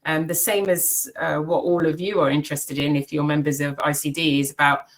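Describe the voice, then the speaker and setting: A British woman in middle age speaks in a low voice with a gravelly edge. She talks through an unscripted presentation in a thoughtful way, pausing often with ums and restarting her sentences. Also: level tone, low-quality recording. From Britain and Cyprus. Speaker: CEO of an education organization.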